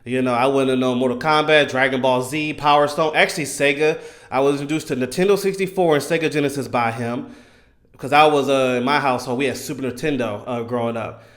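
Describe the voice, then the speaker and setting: A man in his thirties giving casual, enthusiastic fan commentary in an animated, emphatic, slangy way. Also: mid-range voice, a little fast. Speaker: gaming content creator.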